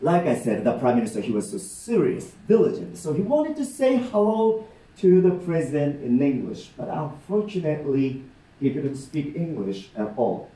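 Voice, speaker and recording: A middle-aged man with a Japanese accent telling comic stories live to an audience, animated and checking in often. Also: a little high, moderate pace. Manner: animated, warm explaining with gestures and frequent "okay?" checks. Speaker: rakugo storyteller. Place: meeting room, indoors. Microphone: far camera in the audience. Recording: roomy sound from a distant camera.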